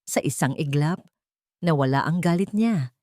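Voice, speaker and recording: A woman's voice: deep voice, expressive, moderate pace, a little mellow, no echo, clean studio sound. A woman reading a scripted, dramatic love story as a storyteller.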